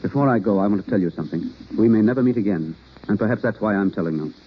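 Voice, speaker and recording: An actor playing a self-effacing, lovesick acting teacher in a 1930s radio romance, speaking earnestly. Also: male voice, on the low side, racing, breathy edge. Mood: ardent. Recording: muffled old radio recording.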